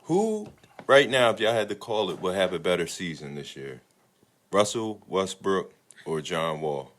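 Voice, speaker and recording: Deep-voiced man chatting about sports. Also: very animated, a little slow. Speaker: sports podcast panelist. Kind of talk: casual chat.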